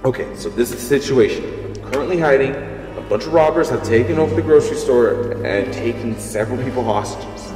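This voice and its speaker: A man's voice: mid-range voice, brisk, lightly acted. A man playing a thrill-seeking secret superhero who narrates in first person in an audio drama. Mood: tense, focused. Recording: echoey reverb under background music.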